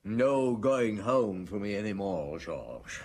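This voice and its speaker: A shrewd British detective chief in a radio play. His husky voice moves expressively up and down as he probes and reflects, measured and world-weary. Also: lowish voice, sing-song, a little mellow, full character voice. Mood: weary, reflective. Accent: British English. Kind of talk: British radio drama acting.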